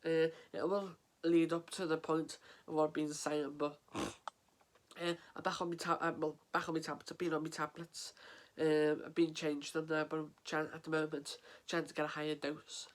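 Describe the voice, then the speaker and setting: Flat-voiced English man with a cold. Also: a little high. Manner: halting and earnest, many fillers and restarts. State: stuffy with a head cold, sniffling. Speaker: mental health vlogger. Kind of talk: casual to-camera vlog.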